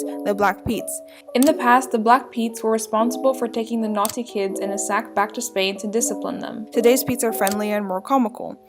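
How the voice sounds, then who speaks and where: A woman with a mid-pitched voice reads a scripted explainer. She works as a narrator, setting out background information in prepared sentences.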